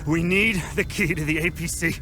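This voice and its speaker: An actor playing a wounded, rattled soldier in pain, speaking urgently and haltingly in a video game. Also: male voice, on the high side, fairly even intonation, background noise, close to an everyday voice. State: hurt, in pain. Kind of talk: naturalistic video game dialogue acting.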